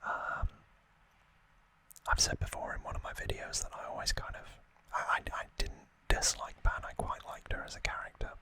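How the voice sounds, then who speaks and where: A man hosting an unscripted ASMR unboxing in a breathy whisper, speaking in short, halting phrases with pauses. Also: sharp tone, rough recording. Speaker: ASMR video host.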